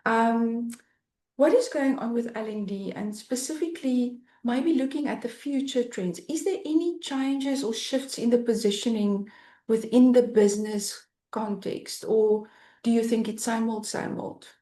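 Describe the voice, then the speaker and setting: A woman's voice: on the high side, a little slow, warm tone. A woman hosting and moderating a webinar chat on a video call, asking questions in an enthusiastic, chatty way. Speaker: host, moderator. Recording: echoey room.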